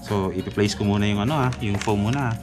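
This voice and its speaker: A man's voice: fairly low pitch, fast talker. Man casually demonstrating helmet gear. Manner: instructive, talks viewers through each step, frequent "okay?" checks. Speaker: moto vlogger demonstrating gear. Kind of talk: casual how-to walkthrough. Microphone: camera mic. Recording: background music. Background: background music.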